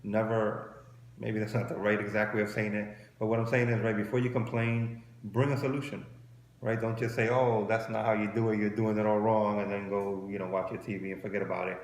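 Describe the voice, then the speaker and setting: A man's voice: on the low side, level tone, a little fast. A man in his forties giving casual, conversational advice as a co-host, often rephrasing his points. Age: 40s. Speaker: co-host of a marriage advice show. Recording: roomy echo with faint background noise.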